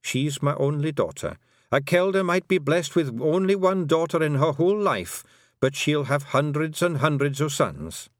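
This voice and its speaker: A man's voice: fairly high pitch, animated, moderate pace, no room sound, studio quality, pitched above the performer's own voice, natural voice. A man voicing a tiny, dying old fairy clan mother, bossy and shrewd, in a lilting rural brogue. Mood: commanding. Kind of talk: audiobook character voice.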